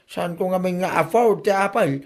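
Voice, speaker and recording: A man's voice: high-pitched, normal speed, noisy background. Man acting an exasperated, scolding Ilocano husband. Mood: grumbling. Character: exasperated husband scolding his spendthrift wife Anita. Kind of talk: comedy radio drama acting. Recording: music behind the voice.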